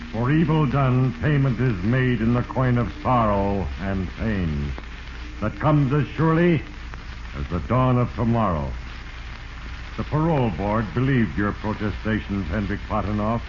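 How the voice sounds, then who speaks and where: Solemn, ominous Fate, low-voiced. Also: male voice. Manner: solemn, pompous, portentous. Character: Fate, stern all-powerful narrator judging mortal men. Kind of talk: 1940s radio drama narration. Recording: noisy lo-fi 1940s radio recording.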